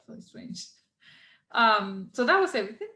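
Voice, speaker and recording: A woman guest talking as a spiritual guide in an interview, earnest and gentle, repeating words for emphasis. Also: fairly high pitch, big pitch swings, normal speed, reverberant. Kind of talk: casual video-call interview.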